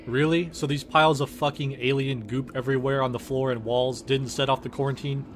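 A man's voice: mid-range voice, little pitch movement, a little fast, background noise, lightly acted. A man voicing a sarcastic, foul-mouthed space engineer in a comedic game parody, blunt and exasperated. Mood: mocking.

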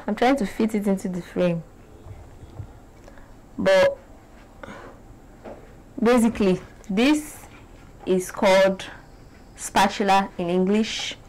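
A young woman from Nigeria with a Nigerian accent, talking to the camera in a casual, animated vlog from a small bright room. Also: mid-range voice, a little hiss or hum, rough recording. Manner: animated, chatty, gestures a lot. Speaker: beauty vlogger.

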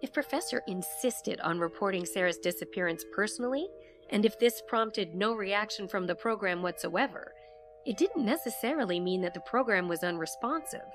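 A woman acting as an investigator who narrates a mysterious case in a measured first-person audio drama. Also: lowish voice, expressive, music in the background. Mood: pensive, intent.

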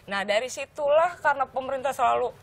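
A woman's voice: high-pitched, expressive, quick pace, vocal fry. A woman in her thirties from Indonesia, a talk show guest explaining at length with fillers, on a studio lapel mic. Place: TV studio set.

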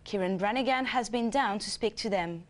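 A woman's voice: mid-range voice, moderate pace. A woman presenting a scripted news bulletin.

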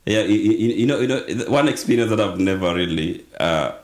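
Kenyan man in his thirties, very low voice, rambling storyteller, podcast mic in a small studio. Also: expressive, a little fast. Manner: storytelling, mixes in Swahili phrases, many restarts. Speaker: guest. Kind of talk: casual interview. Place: small indoor studio with dark curtains. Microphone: desk podcast mic on arm.

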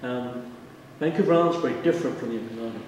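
A man's voice: medium pitch, fast talker, darkish tone, slight background noise. An older man, a winery owner and winemaker, talking bluntly and emphatically in a casual interview, often repeating words as he thinks. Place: large winery tasting room, indoors. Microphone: handheld mic, wide camera. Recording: echoey room from far off.

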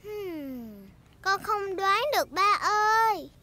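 A woman voicing a cheerful, eager little girl pig in a dubbed preschool cartoon, with an excited singsong delivery. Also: very high-pitched, slow, heavily acted. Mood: puzzled. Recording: loud cartoon music behind.

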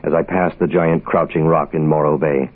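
An actor playing a terse private investigator, clipped and deadpan, in the style of 1960s radio noir. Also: male voice, extremely low pitch, normal speed. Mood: reflective. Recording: hissy, phone-like vintage radio recording.

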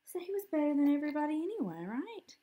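A woman in her thirties reading a picture book aloud at home to young children in an expressive, sing-song way. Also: high-pitched, fast. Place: small living room at home, indoors.

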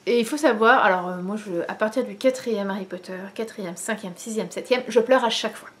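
A woman's voice: medium pitch, a little fast. A woman in her thirties from France chatting casually to camera at home, rambling with many fillers and restarts. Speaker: knitting vlogger. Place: living room at home, indoors. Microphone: camera in front, head and shoulders.

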